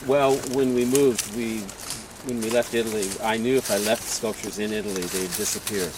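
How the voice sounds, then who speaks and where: A middle-aged man, a sculptor, chatting and telling a long anecdote in a relaxed interview. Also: lowish voice, moderate pace, background noise. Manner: chatty anecdotal storyteller, laughs often. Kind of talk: casual storytelling interview.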